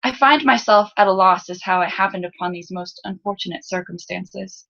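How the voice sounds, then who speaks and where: A woman's voice: mid-range voice, normal speed, vocal fry, a bit bright, dull audio. Woman as a prim, guarded well-bred girl. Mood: timid, stiff. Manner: formal, elaborate, haughty diction.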